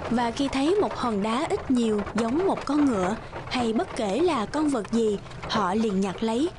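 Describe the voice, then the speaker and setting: A woman's voice: fairly high pitch, lively intonation, a little slow, noisy background. A woman narrating a scripted fairy tale. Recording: music behind the voice.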